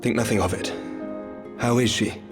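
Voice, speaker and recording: A man playing a calm, gracious lord of knights in a game cutscene, speaking formally with polite authority. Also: medium pitch, moderate pace, close to an everyday voice. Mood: concerned. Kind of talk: video game cutscene acting. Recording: background music under the dialogue.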